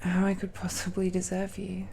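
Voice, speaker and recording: A woman voicing a tender, nervous girlfriend of dragon blood in an intimate romantic audio roleplay, speaking haltingly and softly, often dropping into whispers. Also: lowish voice, completely flat delivery, creaky, a little hiss or hum. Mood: adoring, hesitant. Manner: slow, halting, hushed, often sighing or lapsing into whispers. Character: tender, nervous dragon-descended girlfriend confiding in her lover.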